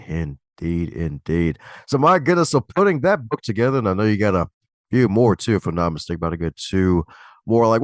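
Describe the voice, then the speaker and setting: A man's voice: sits low, dry recording, clean studio sound. A man hosting a podcast interview, enthusiastic and given to repeating affirmations. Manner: enthusiastic, repeats "that's right, indeed". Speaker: podcast host.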